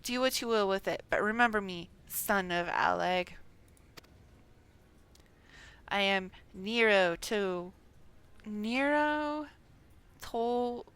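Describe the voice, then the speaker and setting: A woman's voice: medium pitch, slow pace, a bit bright, smooth. A woman voicing a proud, bitter, wounded man from a fantasy game, with theatrical defiance.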